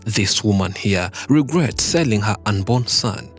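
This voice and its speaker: A man with a fairly low voice reads documentary narration from a script. His delivery is steady and measured. Soft music plays underneath. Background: background music.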